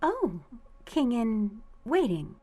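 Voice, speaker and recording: A woman's voice: a little high, big pitch swings, very slow, warm tone, slightly rough, heavily acted. A woman playing a sly, flirtatious lady cat burglar in a radio suspense drama, with a coy, teasing manner.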